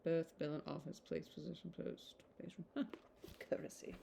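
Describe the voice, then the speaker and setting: A woman reading aloud informally and haltingly, breaking off with casual asides to puzzle over words. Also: low-pitched, flat delivery, creaky, background noise. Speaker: amateur reader.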